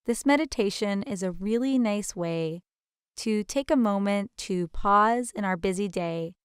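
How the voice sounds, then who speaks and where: A woman leads a guided meditation in a gentle, soothing, invitational manner with deliberate pauses. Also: mid-range voice, clear, resonant tone, no echo, studio quality.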